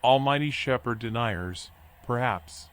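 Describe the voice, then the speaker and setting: An actor playing a washed-up animal drifter in a noir video game, folksy, rambling and resigned. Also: male voice, mid-range voice, unhurried, a bit bright, smooth, close to an everyday voice. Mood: wry, resigned. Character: washed-up male drifter animal, philosophical, wry, weary. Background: music.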